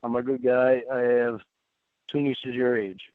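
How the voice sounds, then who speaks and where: A man's voice: mid-range voice, level tone, a little slow, dry recording. A man reading a chat exchange aloud and adding his own asides, heard through narrow, tinny playback.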